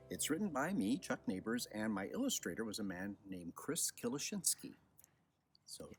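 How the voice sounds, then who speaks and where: An older man tells a personal story in a husky voice. His pitch swings widely and expressively, with the unhurried delivery of someone reading a picture book aloud to children. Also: a bit bright.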